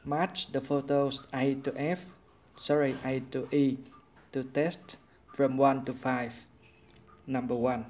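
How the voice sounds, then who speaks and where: A male English teacher explains a course-book exercise step by step. He speaks slowly in an even, flat tone, over a faint hiss of noise. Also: medium pitch. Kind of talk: online lesson narration.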